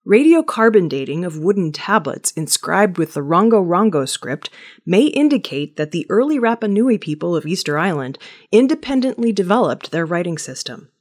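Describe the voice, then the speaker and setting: A woman reading a scripted weekly news bulletin in a measured, steady manner. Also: fairly low pitch. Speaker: news reader.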